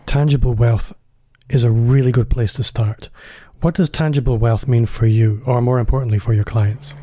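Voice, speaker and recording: A man's voice: mid-range voice, steady tone, moderate pace, dark tone. A man hosting a business podcast, chatty and a little self-deprecating, phrasing his questions loosely. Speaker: podcast host. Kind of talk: podcast interview.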